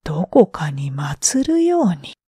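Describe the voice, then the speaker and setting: A woman's voice: low voice, sing-song, slow, warm tone, slightly rough, dry recording, clean studio sound, pitched below the performer's own voice, heavily acted. A woman voicing a willful old male star god in a bedtime storybook, speaking in a commanding way. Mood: solemn, commanding.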